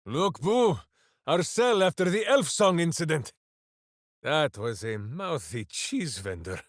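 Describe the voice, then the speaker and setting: A hulking, earnest ranger from a fantasy video game who refers to himself in the third person and makes grand heroic proclamations about good and evil. His delivery is slow and deliberate, and his pitch swings widely between booming highs and low rumbles. The sound is dry and close, with no room around it. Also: no room sound. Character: hulking earnest male ranger warrior with a pet hamster. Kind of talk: game voice lines.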